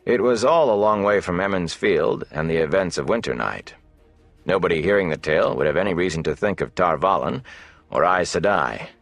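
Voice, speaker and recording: A man's voice: low voice, animated, brisk, no echo, soft background music. A man reading scripted fantasy narration as an audiobook narrator.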